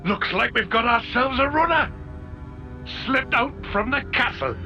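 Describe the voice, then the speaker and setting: A man voicing a sly, composed villain's accomplice in a video game, measured and formal. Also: very high-pitched, lively intonation, exaggerated voice. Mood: cool, agreeing. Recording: loud ominous game music.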